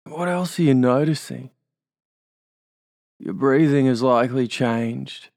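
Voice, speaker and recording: A man's voice: medium pitch, slow, vocal fry, a little mellow, no room sound, clean studio sound. A man with an Australian accent leading a guided hypnosis induction, giving soothing suggestions and tag questions.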